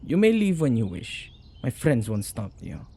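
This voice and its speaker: A man playing a witch who keeps company with ghosts, excitable and caring, in a conversational ASMR audio roleplay with a husky, gravelly voice, speaking closely to the listener. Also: medium pitch, normal speed, a little hiss or hum. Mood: resigned, soft.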